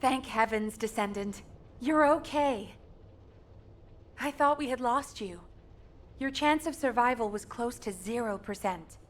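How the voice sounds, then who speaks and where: A woman's voice: on the high side, a little slow, long pauses, soft background music, natural voice. A woman playing a caring mission operator in a sci-fi video game, earnest and articulate as she briefs. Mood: relieved. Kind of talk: scripted video game dialogue.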